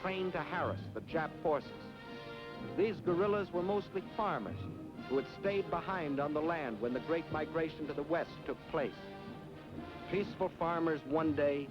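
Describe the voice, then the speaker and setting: An American man narrating a wartime propaganda film in a grave, emphatic, declamatory 1940s newsreel style. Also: on the high side. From United States. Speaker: documentary narrator. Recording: dull 1940s film soundtrack. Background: orchestral music.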